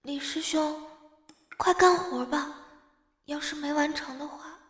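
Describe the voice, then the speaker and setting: A woman playing a timid, gentle young girl in an audio drama, speaking softly and pleadingly. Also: sits high, fairly even intonation, a little slow. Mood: timid urging. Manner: soft, pleading. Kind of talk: Chinese audio drama acting. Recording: echoey hall reverb.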